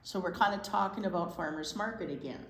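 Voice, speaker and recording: A woman's voice: fairly low pitch, a little fast, a bit bright. A woman teacher reading a picture book aloud to children, with casual chatty asides. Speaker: library storytime teacher. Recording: echoey room with faint noise.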